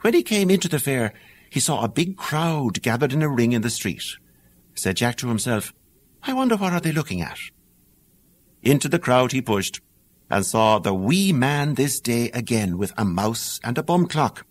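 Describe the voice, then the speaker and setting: A man with an Irish accent narrating a fairy tale audiobook in a lilting, animated storytelling manner. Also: mid-range voice.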